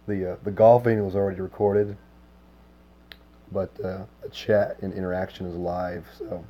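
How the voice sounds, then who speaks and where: A man with a hoarse, worn voice chatting casually with his livestream viewers, easygoing and full of filler words. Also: low voice, little pitch movement, normal speed, muffled recording. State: hoarse, lost voice. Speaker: game streamer.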